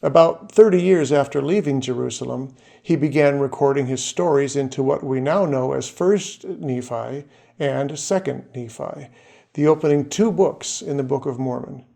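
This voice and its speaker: A man narrating a scripted talk in a measured, even manner as he works through lists of names and facts. His voice is low and mellow, with a gravelly edge. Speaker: series narrator.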